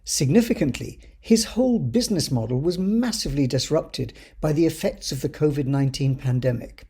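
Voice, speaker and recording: A man with a husky voice reading a scripted podcast introduction as its host. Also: on the high side, warm tone.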